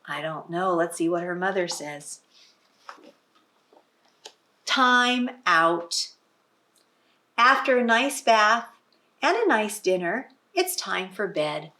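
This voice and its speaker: A woman's voice: mid-range voice, sing-song, slow pace, long pauses, sharp tone. A woman reading a picture book aloud to young children, teacher-style, with explanatory asides and prompting questions. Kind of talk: expressive storybook read-aloud with asides to children.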